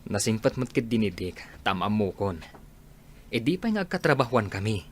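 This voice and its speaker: A man's voice: lowish voice, sing-song, moderate pace, slight background noise. A man playing a coaxing, easygoing friend and co-worker in a radio drama.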